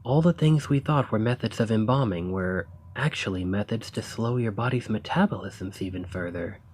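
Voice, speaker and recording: A man playing an earnest, eager researcher of ancient civilizations in an ASMR roleplay, speaking in formal, gently sympathetic phrasing. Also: on the low side, normal speed, a little hiss or hum, lightly acted. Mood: curious, fascinated. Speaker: audio roleplay performer. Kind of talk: ASMR roleplay, scripted character acting.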